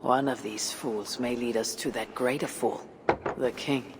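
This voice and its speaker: An actor playing a bold, steady Viking warrior leader in a video game, with measured, formal phrasing. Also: male voice, medium pitch, close to an everyday voice. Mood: steady, resolute. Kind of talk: dramatic video game acting. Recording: noisy game ambience behind the voice.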